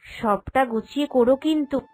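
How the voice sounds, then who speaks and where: Woman as a gentle, dutiful young village wife. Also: high voice, normal speed. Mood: caring. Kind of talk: cartoon character dialogue. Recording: music behind the voice.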